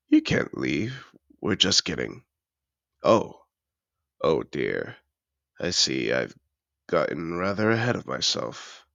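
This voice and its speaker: Man acting a very deep, gravelly aristocratic dragon seducer. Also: a bit bright, dry recording, heavily acted. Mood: flustered, apologetic. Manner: refined, effusive, theatrical phrasing. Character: wealthy cultured male dragon in human disguise, possessive, effusive seducer. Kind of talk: scripted audio roleplay addressed to the listener.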